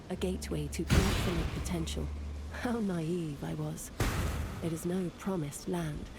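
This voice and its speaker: An actress playing a weary, regretful woman in a video game, narrating in a solemn, measured, reflective way. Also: fairly low pitch, natural voice. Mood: grave, resolute. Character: weary, regretful woman, solemn fantasy mapmaker recalling loss. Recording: loud cinematic music behind the voice.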